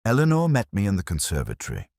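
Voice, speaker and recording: A man reading a scripted first-person detective story as narrator, in a measured, solemn, literary manner. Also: fairly low pitch, wildly swooping pitch, darkish tone, no room sound, clean studio sound.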